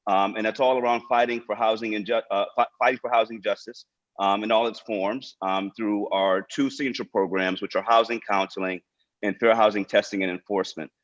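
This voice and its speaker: A man's voice: lowish voice, steady tone, a little fast. A man speaking as a nonprofit director, giving informal prepared remarks to an online panel over a video call. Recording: dull, lo-fi video call audio.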